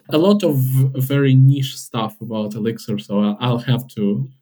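A man's voice: mid-range voice, fairly even intonation, a little slow, dark tone. A young man in his twenties who is a podcast guest, speaking in a thoughtful, hesitant but enthusiastic way. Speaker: podcast guest, software developer. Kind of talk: casual podcast chat.

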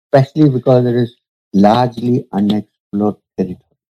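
A man, a spiritual teacher, telling a story from his past in a slow, measured, reflective way during an interview. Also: medium pitch, muffled recording. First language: Urdu.

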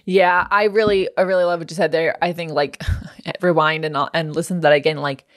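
A woman hosts a casual podcast interview with a somewhat low voice. She affirms her guest and laughs often. The recording is dry and close. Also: fairly even intonation, fairly quick, no room sound. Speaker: podcast host.

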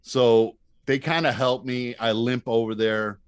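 A man's voice: mid-range voice, fairly even intonation, a little slow, smooth, dry recording, clean studio sound. A middle-aged man telling stories as a podcast guest in a casual, easygoing, agreeable way. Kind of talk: casual anecdotal chat.

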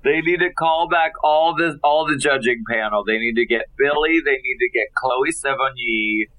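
A man's voice: mid-range voice, moderate pace, bright, forceful tone, low-quality recording. A man co-hosting a casual podcast, playing up mock outrage between laughs. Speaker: podcast co-host. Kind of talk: casual recap chat.

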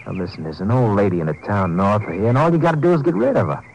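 Slick, pushy crime schemer. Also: male voice, on the low side, very animated, racing, gravelly, darkish tone. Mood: persuasive, pushy. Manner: persuasive, businesslike, wheedling. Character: slick, pushy criminal schemer hiring a killer. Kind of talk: 1950s radio drama acting. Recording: noisy old 1950s radio recording.

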